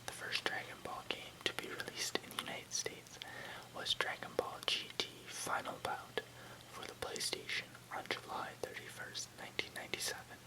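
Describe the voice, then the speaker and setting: An ASMR host reading an article aloud in a soft, breathy, unvoiced whisper, with the odd stumble over words. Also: rough recording. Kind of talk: whispered ASMR reading with brief casual asides.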